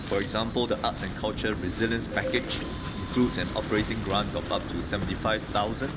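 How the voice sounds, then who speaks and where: A man's voice: on the low side, steady tone, brisk, very noisy. A man with a Singaporean accent giving a formal prepared speech as a member of parliament. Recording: game music over parliamentary speech audio. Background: loud music and voices from a video game.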